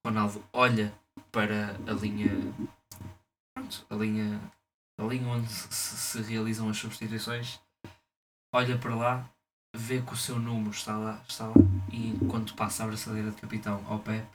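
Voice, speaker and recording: A young man from Portugal co-hosting a podcast, chatting and joking fast with a friend. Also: fairly low pitch, flat delivery, a bit bright, recorded from a distance, a little hiss or hum, poor audio. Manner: fast, joking, acts out quotes in mock voices. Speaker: podcast co-host. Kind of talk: casual banter between friends.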